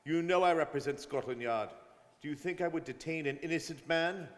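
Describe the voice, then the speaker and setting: A man plays a dogged, suspicious police detective in a radio play, sure of himself and insistent. His voice sits a little high and rings with long hall reverb. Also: brisk, natural voice. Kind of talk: old-time radio drama acting. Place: performed live in a studio.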